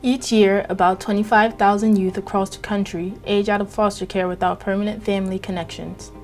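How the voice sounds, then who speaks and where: A woman reading scripted documentary narration in an even, informative way. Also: mid-range voice, a little fast, faint music behind.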